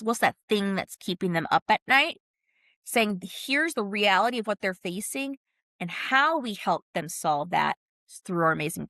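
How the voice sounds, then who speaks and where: A woman's voice: medium pitch, a bit bright, dry recording, clean studio sound. A woman explaining ideas as an interview guest, speaking conversationally in an emphatic, punchy way with colloquial asides. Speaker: guest, brand consultant and author.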